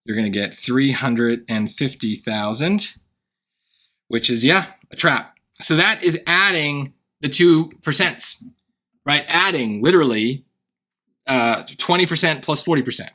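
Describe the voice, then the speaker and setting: A man walks through a math problem like a relaxed tutor, checking in with "right?" as he goes. His tone is bright and forward. The voice sounds worn and hoarse. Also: medium pitch, unhurried. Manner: thinking aloud, wry asides, frequent "right?". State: voice getting hoarse. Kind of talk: casual worked-example lesson.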